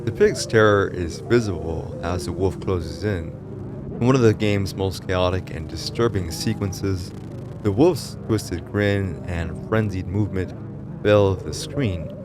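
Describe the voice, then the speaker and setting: A man's voice: low-pitched, a little slow, background noise. A man reading scripted narration for a horror story explainer in a dramatic, ominous storytelling manner. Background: music behind the voice.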